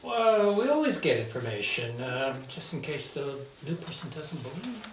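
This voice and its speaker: A woman playing a hesitant, eager male roommate in hell at a drama table read, explaining things in a halting way. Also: deep voice, big pitch swings. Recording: roomy echo, distant mic, faint noise.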